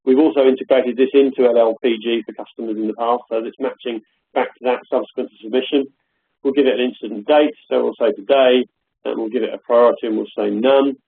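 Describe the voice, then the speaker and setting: A man giving a hesitant, filler-heavy software demonstration on a live call from his home office. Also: mid-range voice, steady tone, quick pace, creaky, husky, narrow, tinny audio. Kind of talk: live webinar product demo. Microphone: call audio.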